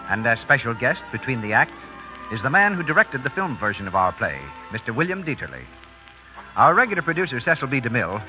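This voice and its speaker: Male announcer, old-time radio sponsor style, formal, lo-fi recording, soft music underneath. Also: mid-range voice. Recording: muffled 1930s radio broadcast.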